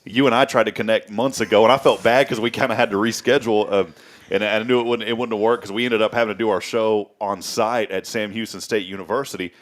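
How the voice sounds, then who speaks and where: A man's voice: lowish voice, fairly even intonation, fast talker. A man hosting a morning talk show in a studio, chatting in a rambling way that often repeats words. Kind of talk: casual chat.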